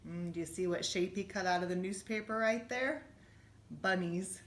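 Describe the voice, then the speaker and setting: A woman with a slightly low voice reads a children's storybook in a roomy, echoing space. She is expressive and speaks directly to young listeners, gently putting questions to them as a teacher would. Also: normal speed. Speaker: schoolteacher reading a bedtime story. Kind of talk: children's storybook read-aloud with asides to listeners. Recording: roomy, echoey room sound.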